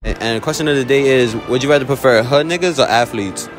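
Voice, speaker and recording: A young man in his late teens asks shoppers questions in a mall, mic in hand. His manner is low-key and teasing, his pitch ordinary. Music plays clearly underneath. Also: fast talker. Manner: low-key, teasing, keeps mentioning himself. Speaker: street interviewer, co-host. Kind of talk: casual street interview. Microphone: handheld mic.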